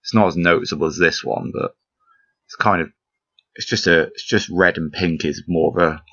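A man casually commentating on a puzzle game as he plays, rambling and poking fun at himself, his voice croaky today. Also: low voice, steady tone, moderate pace, pushed and bright. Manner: rambling, self-deprecating, chuckles often. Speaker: gameplay commentator. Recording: slightly dull lo-fi recording with game music behind.

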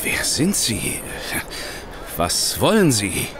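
An anxious, evasive servant type in a radio play. He speaks slowly and hesitantly, with an animated mid-range voice that wavers in pitch. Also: background noise, heavily acted. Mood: defensive. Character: nervous, evasive male hotel steward. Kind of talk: German audio drama acting.

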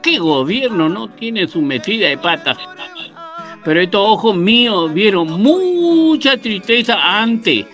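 An actor playing a proud old Paraguayan villager who makes a rousing toast in a radio drama. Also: male voice, high-pitched, sing-song, takes it slowly, fairly bright tone, soft background music, exaggerated voice. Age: older. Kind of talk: radio drama acting over video call.

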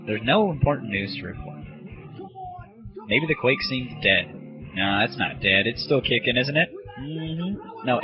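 A sarcastic, foul-mouthed man hosting an irreverent comedy show with prank calls. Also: medium pitch. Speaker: show host. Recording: dull lo-fi old internet radio audio.

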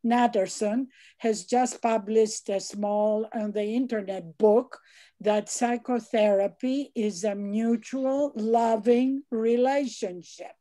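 Older Greek-born woman, mellow voice. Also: fairly high pitch, steady tone, slow pace. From Greece. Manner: earnest, emphatic, rising to loud points. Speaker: psychiatrist commenting in Q&A. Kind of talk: online seminar comment. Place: home study with bookshelves. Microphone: headset on video call.

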